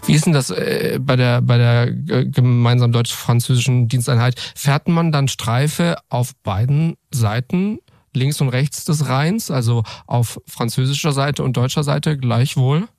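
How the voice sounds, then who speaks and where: Man hosting an interview, creaky voice, dry studio. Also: mid-range voice, fairly even intonation, unhurried, darkish tone, no room sound. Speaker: podcast host interviewing guests. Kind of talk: moderated interview with explanatory narration.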